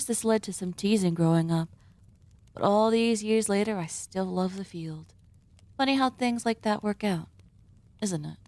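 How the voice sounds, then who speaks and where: A woman performs a genteel female cannibal hostess in an ASMR audio roleplay. She speaks at a medium pitch with deliberate, courteous phrasing. Her politeness has a sinister edge, addressed straight to the listener. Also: creaky, a little mellow, dry recording, natural voice. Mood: wistful, confiding. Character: gracious, sinister female cannibal surgeon hosting dinner.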